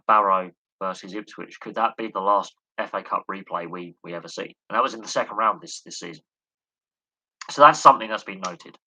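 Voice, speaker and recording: Bright-toned man, hoarse and rambling. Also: fairly low pitch, low-quality recording. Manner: rambling, full of fillers and repeated words. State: losing his voice, coughing. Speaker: sports commentator answering questions. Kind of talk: live-stream sports discussion.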